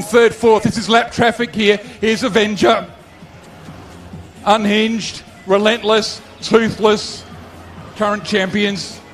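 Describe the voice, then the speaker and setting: A man calling a pedal car race live, excitable and getting hoarse as his voice gives out. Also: high voice. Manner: excitable, rising to shouts at the start. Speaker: race commentator.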